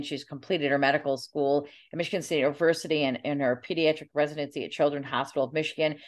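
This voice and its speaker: A woman hosting an interview, chatty and quick with short reactions. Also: low-pitched. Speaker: interview host.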